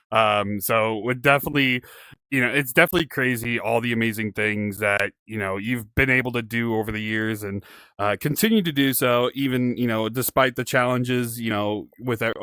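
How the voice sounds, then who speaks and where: Bright-voiced man hosting a casual interview. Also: medium pitch, dry recording. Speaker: podcast host, interviewer.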